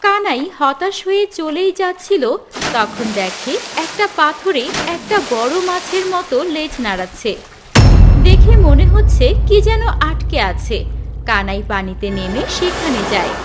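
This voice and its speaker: A woman's voice: high voice, very animated, a little slow, loud music behind the voice. A woman giving scripted storybook narration for a folk tale.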